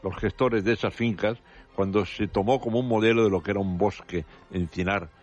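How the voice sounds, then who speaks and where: A man's voice: fairly low pitch, fairly even intonation, a little fast, muffled recording, faint music behind. An older man with a Spanish accent, explaining nature topics as a radio guest in a professorial, digressive way, with touches of humor. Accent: Spanish from Spain. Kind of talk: casual radio chat. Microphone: studio mic.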